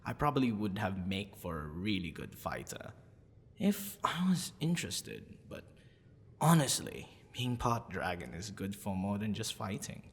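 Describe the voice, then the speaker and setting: Half-dragon male librarian, played by a man, earnest and besotted boyfriend-audio lead. Halting delivery, swooping pitch, long reverb. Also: big pitch swings, heavily acted. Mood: calm, musing. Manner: halting, stammering, breathy sighs and nervous laughs. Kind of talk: ASMR boyfriend audio roleplay.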